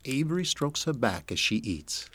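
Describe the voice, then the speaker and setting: A man's voice: medium pitch, sing-song, normal speed, fairly bright tone. A man narrating an audio drama from a script, in present-tense storytelling. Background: music.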